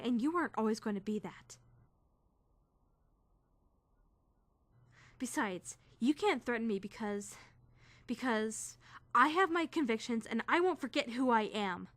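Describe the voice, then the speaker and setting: Woman acting high-voiced, earnest, rule-bound teenage girl. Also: no echo. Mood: indignant. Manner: earnest, formal, emphatic. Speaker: voice actor in a fan dub. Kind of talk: dramatic first-person visual novel narration and dialogue.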